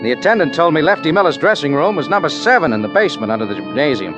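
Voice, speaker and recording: A man's voice: on the high side, very animated, racing. Wry hardboiled radio narrator, man. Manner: laconic, wry, clipped sentences. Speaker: lead actor and narrator. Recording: dull 1940s radio recording with music.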